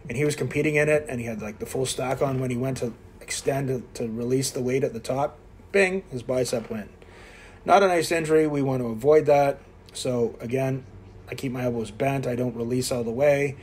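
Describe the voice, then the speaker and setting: A man's voice: mid-range voice, brisk, a little mellow, slight background noise. Blunt, self-mocking man in his fifties. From Quebec, Canada. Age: 50s. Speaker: fitness vlogger. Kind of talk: casual workout commentary. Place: indoor gym.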